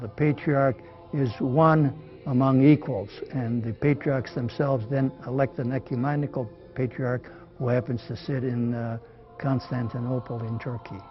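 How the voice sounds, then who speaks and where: A man of medium pitch gives an unscripted explanation, pausing between phrases. The audio is dull, with faint music underneath. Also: steady tone. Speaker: interviewee. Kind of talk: unscripted explanatory interview talk.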